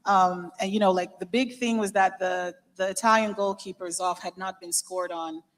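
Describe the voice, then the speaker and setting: Lively woman storyteller in an echoey hall. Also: medium pitch. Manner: animated, quick storytelling. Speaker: panelist. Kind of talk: casual panel storytelling. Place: event venue with a large hall.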